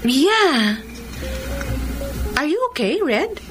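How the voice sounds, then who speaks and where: A woman's voice: high-pitched, big pitch swings, very slow, full character voice. A woman acting in a Filipino radio drama as a sweet, breezy young girlfriend who mixes in English. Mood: flustered. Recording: music bed behind dramatised radio dialogue.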